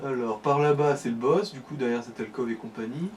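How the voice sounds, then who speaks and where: A man's voice: medium pitch, fairly quick, darkish tone. A man giving casual game commentary as a co-host, explaining things informally with hesitant phrasing. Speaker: let's play co-commentator, guide. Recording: distant mic, faint background noise.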